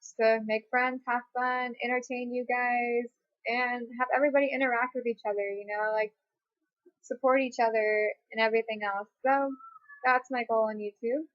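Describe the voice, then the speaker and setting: A twenty-year-old woman chats her way through viewer questions from home, wandering through thoughts with lots of fillers and restarts. Her voice sits a little high. The webcam audio is dry and slightly muffled. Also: fairly even intonation, moderate pace, dry recording. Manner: rambling, chatty, many fillers and restarts. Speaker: YouTuber. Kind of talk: casual facecam Q&A. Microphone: webcam mic.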